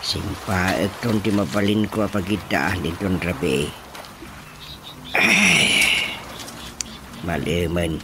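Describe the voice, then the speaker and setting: A man with a Filipino Ilocano accent playing an eager, talkative, comically plaintive rustic young man in a radio drama, with drawn-out exclamations. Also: deep voice, noisy background. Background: music.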